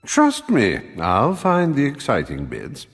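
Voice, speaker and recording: An actor playing a vain, selfish, decadent gentleman rogue in a fantasy game, pompous, theatrical and sardonic. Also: medium pitch, wildly swooping pitch, slow pace, husky, exaggerated voice. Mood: gleefully louche. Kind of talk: comic fantasy game acting. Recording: long reverb, music behind.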